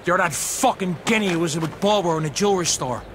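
A man's voice: fast, background noise, close to an everyday voice. An actor playing a vengeful, crazed young male inmate who jeers and taunts. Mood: gloating. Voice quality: jeering, wild swings of pitch. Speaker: prison inmate. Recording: game audio with music behind.

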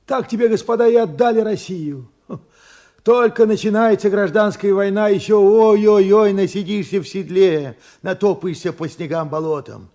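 An actor in a radio play playing a weary, regretful old war veteran, hoarse and urgent in a halting delivery. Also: male voice, sits high, warm tone, lightly acted. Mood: agitated. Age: older. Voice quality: hoarse, husky, cracked. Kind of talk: Soviet radio play acting.

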